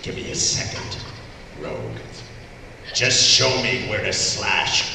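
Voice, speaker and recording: A man acting from a script at a live table read with gruff, dry delivery, recorded from far off in an echoey hall. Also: on the low side, slow pace, pushed and bright, heavily acted. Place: large convention room with live audience. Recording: echoey hall, distant mic, faint noise.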